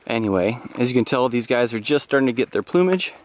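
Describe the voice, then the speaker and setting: A man's voice: mid-range voice, expressive, quick pace. Casual man vlogging outdoors, noisy audio. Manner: informal, loosely explanatory. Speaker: farm tour vlogger. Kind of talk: casual off-the-cuff walking tour narration. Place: outdoors in farm pens. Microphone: handheld action camera at arm's length. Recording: outdoor camera audio with noise and clicks.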